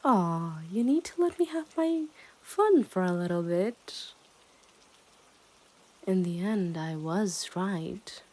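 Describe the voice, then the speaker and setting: Slow, smug yandere kidnapper girl, acted by a woman. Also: lowish voice, expressive, mellow. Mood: gloating. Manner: slow, teasing, often dropping into whispers. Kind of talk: ASMR roleplay, intimate scripted monologue.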